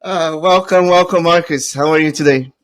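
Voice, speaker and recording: Portuguese-accented guy hosting a podcast, kinda high voice, excited and chatty, lots of uhs. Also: normal speed. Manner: enthusiastic, chatty, with frequent fillers. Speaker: podcast host. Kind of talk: casual interview chat.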